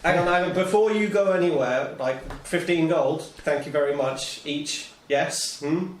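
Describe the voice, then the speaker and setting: Higher-voiced man in a roomy recording. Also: normal speed. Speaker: tabletop game player. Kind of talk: casual in-game banter. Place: room with other players.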